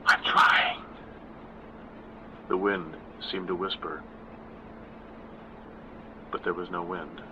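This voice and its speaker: A man in his sixties, an author reading his own horror story and then chatting in a measured, self-deprecating way. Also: sits low, level tone, background noise. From California. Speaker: author and narrator. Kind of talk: scripted horror narration and casual backstage talk.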